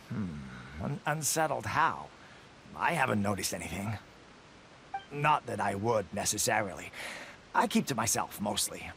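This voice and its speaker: An actor playing a drowsy, easygoing soldier who talks in a languid, offhand way. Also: male voice, mid-range voice, a bit bright, a little hiss or hum, full character voice. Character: lazy drowsy soldier who keeps to himself.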